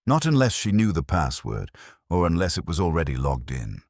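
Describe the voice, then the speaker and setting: Guy doing a wary it guy, very low voice, clipped and matter-of-fact, detective audiobook. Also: wildly swooping pitch, normal speed, darkish tone, no echo, clean studio sound, full character voice. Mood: revealing. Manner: clipped, technical. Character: wary, matter-of-fact male office tech worker.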